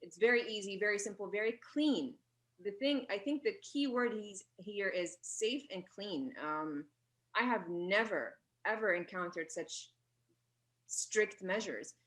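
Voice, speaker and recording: A woman answering interview questions, explaining earnestly, often pausing and correcting herself. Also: mid-range voice, animated, a bit bright. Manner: earnest, with many fillers and self-corrections. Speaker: radio guest.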